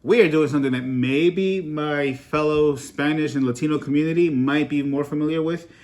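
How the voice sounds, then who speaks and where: A man's voice: a little high, moderate pace, a little mellow. A man from Peru hosting a cooking vlog, talking through a recipe in an upbeat, chatty way with small jokes at his own expense. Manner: upbeat, chatty, self-joking. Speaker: cooking vlogger. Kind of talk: casual cooking tutorial.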